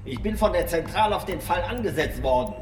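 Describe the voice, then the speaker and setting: An actor playing a stern, impatient police commissioner who interrogates in a curt, accusatory and threatening way in an audio drama. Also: male voice, high-pitched, expressive, a little fast. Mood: scornful. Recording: echoey room, faint background noise. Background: faint room ambience.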